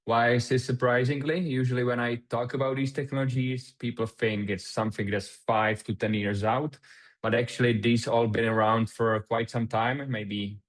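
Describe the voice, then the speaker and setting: Czech-native man, webinar presenter on a call, halting with lots of fillers. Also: mid-range voice, little pitch movement. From Czech Republic. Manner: hesitant, many fillers and restarts. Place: remote video call.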